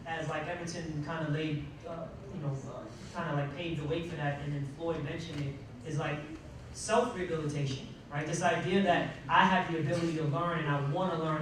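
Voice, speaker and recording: A man speaking unscripted as a panelist, emphatic, pausing mid-sentence and posing rhetorical questions to make his points. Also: on the high side. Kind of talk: panel discussion remarks, unscripted. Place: large indoor room at a college panel event. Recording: echoey, noisy room, distant microphone.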